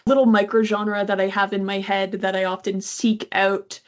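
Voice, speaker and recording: A woman's voice: medium pitch, level tone, moderate pace. A woman interviewing guests, talking in a reflective, conversational way. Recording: dull, lo-fi audio.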